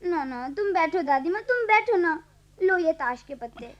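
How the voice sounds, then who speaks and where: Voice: very high voice, animated, normal speed. A performer playing a bossy, playful little granddaughter in a radio play, pleading and cheeky. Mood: insistent. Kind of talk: Hindi radio play acting. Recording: dull lo-fi archival radio recording.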